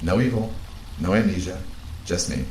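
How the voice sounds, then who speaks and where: A man playing a calm, literal-minded, caring space crewmate in an audio drama, measured and deadpan. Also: sits low. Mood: calm, concerned. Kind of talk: naturalistic audio drama acting. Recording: roomy echo, faint background noise.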